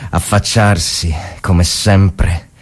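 An actor playing a worn-out, sleepless First World War soldier in a dramatic monologue, halting and brooding, with a husky voice and repeated phrases. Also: male voice, sits low, steady tone, heavily acted. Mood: weary, recounting. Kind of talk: dramatic literary monologue reading.

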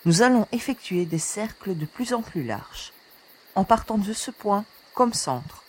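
A woman acts out a young space expedition leader in a science-fiction audio drama. Her voice sits at a middle pitch. She is commanding and sharp-tongued, with anxiety underneath. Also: very animated, measured pace, slightly rough. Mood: businesslike. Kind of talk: French sci-fi audio drama acting.

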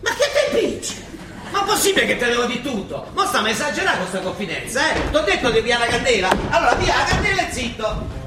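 A man with a Roman accent acting a gruff, exasperated, sardonic monsignor who grumbles and gives orders in a stage comedy. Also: very high voice, sing-song, quick pace. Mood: bossy grumbling. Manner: grumbling, sarcastic, bossy. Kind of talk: theatrical comic stage dialogue in Roman dialect. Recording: echoey stage recording with clear background noise.

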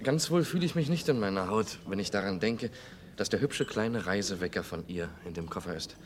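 An actor playing a nonchalant, slightly shifty bar patron in a vintage German radio crime play, talking casually. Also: male voice, on the low side, lively intonation, a little fast, lightly acted. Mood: casual, musing.

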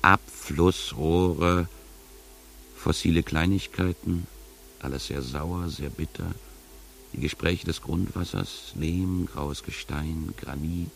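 Casting: extremely deep male voice with gravelly creak, world-weary wanderer, dryly ironic poetic radio narration. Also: fairly even intonation, takes it slowly, faint music behind, exaggerated voice. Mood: brooding. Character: sardonic, world-weary first-person wanderer and guide. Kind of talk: literary radio play narration.

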